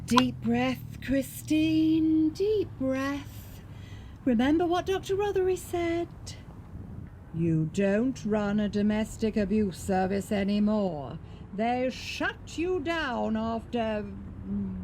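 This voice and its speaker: Actress as agitated female support worker, high swooping voice, earnest, slow-paced, audio drama, noisy background. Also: animated, warm tone. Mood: self-soothing. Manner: agitated, stammering, talking to herself. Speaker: lead actor in an audio drama. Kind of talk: naturalistic audio drama monologue. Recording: clear background noise.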